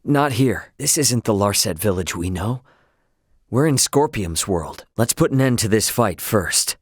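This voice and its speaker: A man's voice: on the low side, lively intonation, unhurried, slightly rough, no echo, clean studio sound. An actor playing an easygoing, cocky young male starship pilot and swordsman in a video game, with breezy, slangy, informal delivery. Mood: reflective, earnest.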